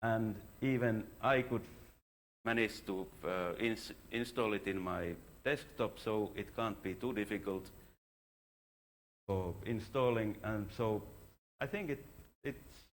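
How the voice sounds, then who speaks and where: Low, creaky-voiced man giving a science talk. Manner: halting, informal, self-deprecating delivery. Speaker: astronomer presenting research. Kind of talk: contributed scientific conference talk. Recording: clear background noise.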